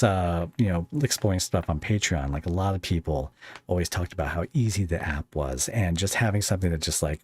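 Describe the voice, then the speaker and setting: A man's voice: sits low, a little mellow, breathy edge. A man hosting a podcast in a casual interview style, talking in a hesitant, rambling way. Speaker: podcast host.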